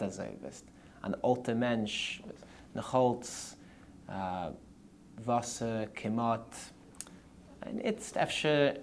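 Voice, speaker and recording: A man with a Ukrainian Yiddish accent, speaking in casual conversation as an interviewee, halting and searching for words as he goes. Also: on the low side, a little hiss or hum.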